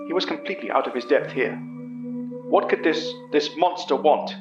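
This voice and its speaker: A man's voice: on the high side, normal speed, roomy sound, recorded from a distance, highs cut off, music in the background. A man reading scripted first-person horror narration.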